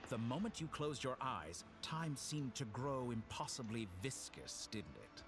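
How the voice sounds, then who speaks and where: A man voicing a smug, silky game villain with godlike power, theatrical and condescending in his mock-polite, deliberate delivery. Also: mid-range voice, very animated. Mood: smug, amused. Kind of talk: scripted video game dialogue. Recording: game music behind the voice.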